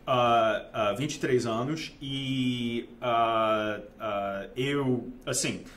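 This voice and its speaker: A man's voice: mid-range voice, drawn-out words, a bit bright. A man in his forties from Texas, speaking Portuguese with a Texan American accent, hesitant, with many fillers and restarts. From United States, grew up in Dallas. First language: English. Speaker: guest, investment manager. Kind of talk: casual interview.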